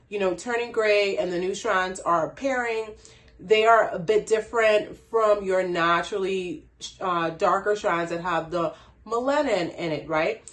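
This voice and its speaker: A woman from the Bahamas with a Bahamian accent, giving a casual hair care tutorial in a chatty way and laughing at herself. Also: mid-range voice. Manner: chatty, laughs at herself, frequent "you know". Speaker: hair care brand owner.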